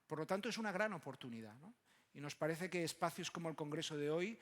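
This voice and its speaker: A man from moderates a conference panel, speaking haltingly and often ending on short tag questions. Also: fairly high pitch. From Barcelona, Spain. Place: large conference room. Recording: echoey hall with long reverb.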